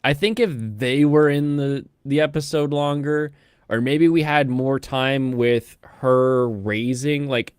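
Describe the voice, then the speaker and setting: A man casually reviewing anime with friends, rambling and animated, often slipping into mocking quoted voices. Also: medium pitch, takes it slowly, clean tone, no echo, clean studio sound. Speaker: host.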